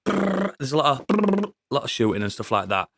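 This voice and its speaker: Sweary, excitable man. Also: medium pitch, brisk. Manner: sweary, excitable, laughs often. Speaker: podcast co-host. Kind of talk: casual review chat.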